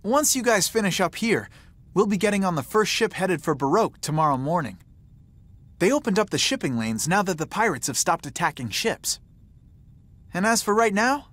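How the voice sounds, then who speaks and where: A man's voice: sits high, fairly bright tone, exaggerated voice. A man voicing an easygoing, wisecracking young man in a video game, laid-back and teasing. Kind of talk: video game cutscene voice acting.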